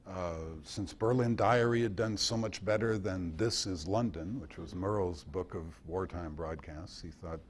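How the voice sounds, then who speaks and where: Low-voiced American man speaking thoughtfully. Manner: hesitant, thoughtful, anecdotal delivery with long pauses. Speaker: guest speaker and author at a club meeting. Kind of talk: informal talk answering audience questions. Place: indoor club meeting.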